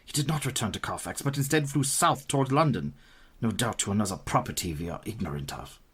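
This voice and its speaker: An actor playing a grave, kindly professor and vampire hunter in a radio play, speaking in formal, earnest, courtly phrases. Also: male voice, fairly low pitch, animated, fast talker, fairly bright tone, husky, full character voice. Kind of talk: radio play dramatic acting.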